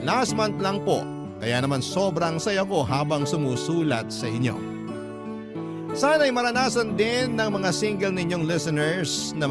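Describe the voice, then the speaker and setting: A man with a Filipino accent reading a listener's letter as a radio host, in sentimental scripted storytelling. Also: fairly high pitch. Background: loud plucked guitar music.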